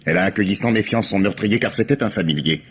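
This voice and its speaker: An actor playing a calm, ironic police commissioner interrogating a suspect in a 1950s radio drama, measured and dry. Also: male voice, on the low side, husky. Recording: muffled old radio broadcast.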